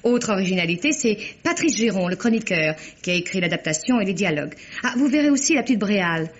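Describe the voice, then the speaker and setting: An actress playing a polished, gracious hostess of a country estate in an old French radio drama. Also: female voice, mid-range voice, animated, fast, lightly acted. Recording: dull old radio recording.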